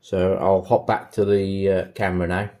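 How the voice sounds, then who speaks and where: A man's voice: deep voice, flat delivery, moderate pace, warm tone. A man narrating a casual hands-on tutorial as an installer, thinking aloud in an informal way. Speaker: installer demonstrating intercom setup.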